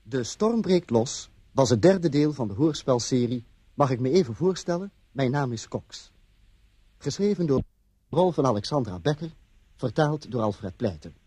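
A man's voice: medium pitch, lively intonation, moderate pace, warm tone, husky, dry recording. A man working as a radio announcer, formally reading out the credits of a radio play.

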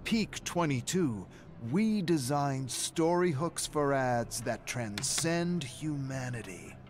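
Guy doing a pushy pompous male boss in a game story, loud and bombastic, voice pushed up. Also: mid-range voice, expressive, slow, soft background music, voice pushed up. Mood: bombastic, demanding. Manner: forceful, bombastic.

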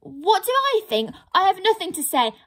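A woman voicing a small, frightened female pixie who blurts out the truth in a high, squeaky voice. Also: very animated, moderate pace. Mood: anxious.

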